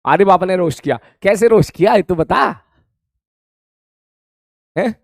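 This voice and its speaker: A male physics teacher with a hoarse, worn throat talks to his students in a live-streamed class. His voice sits a little high for a man, and he chats freely, repeats his greetings and calls them "beta". The sound is close and dry. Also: normal speed, dry recording.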